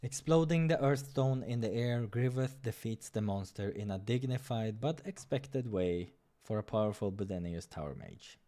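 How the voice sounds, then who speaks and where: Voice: mid-range voice, animated, normal speed, hardly any pauses, dark tone. A let's play host reading a visual novel aloud, mixing narration with casual, irreverent, sweary asides. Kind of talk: playthrough narration with casual reactions.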